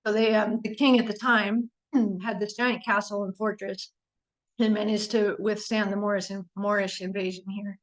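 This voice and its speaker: A woman from North America giving a casual slide talk on birding. She is losing her voice, keeps clearing her throat and fills pauses with ums, but stays chatty and enthusiastic. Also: mid-range voice, level tone, low-quality recording.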